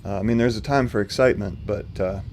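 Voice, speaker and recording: A man with a very low, slightly rough voice hosts a live stream from home. He talks in a calm, level tone full of small fillers. A faint hum of noise sits under his voice. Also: fast talker. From not from North Carolina. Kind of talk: casual livestream commentary answering chat.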